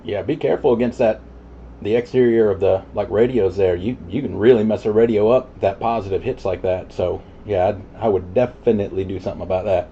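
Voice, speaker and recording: Man, average pitch, relaxed CB operator chat, dull radio audio, steady static behind. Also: fairly quick. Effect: CB radio transmission. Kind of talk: casual chat over CB radio.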